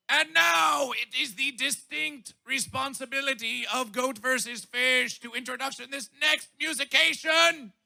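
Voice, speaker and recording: Man festival MC, bellowing and absurd, slow delivery with a harsh, gravelly edge. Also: very high voice, pushed and bright. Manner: bombastic, absurd wordplay, bellowing. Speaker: festival emcee. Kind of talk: shouted comic stage introductions.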